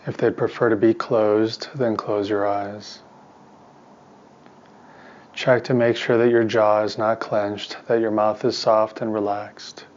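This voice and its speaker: Man leading a guided meditation, even monotone. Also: on the low side, slow, highs cut off. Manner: calm, soothing instruction in short, spaced phrases. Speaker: meditation teacher and therapist.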